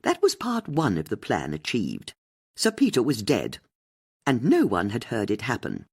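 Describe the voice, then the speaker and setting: A woman's voice: rumbling low, wildly swooping pitch, normal speed, slightly rough. A woman reading a mystery novel as an audiobook narrator, in scripted storytelling style.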